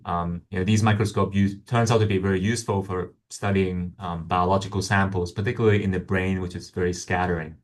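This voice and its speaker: A man's voice: deep voice, fairly even intonation, fairly quick, few pauses. A man from Hong Kong, a guest scientist answering interview questions in a hesitant way, with many ums and restarts.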